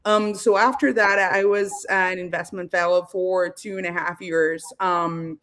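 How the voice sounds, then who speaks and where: A woman's voice: lowish voice, unhurried. Argentine woman telling her story. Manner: lively storyteller, laughs between phrases. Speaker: guest, space company founder. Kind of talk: casual interview chat.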